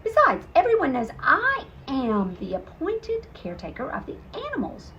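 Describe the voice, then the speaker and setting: A woman voicing a nervous, self-important male animal in a storybook, excitable and prone to stuttering. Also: high voice, normal speed, highs cut off, slight background noise, heavily acted. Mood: indignant.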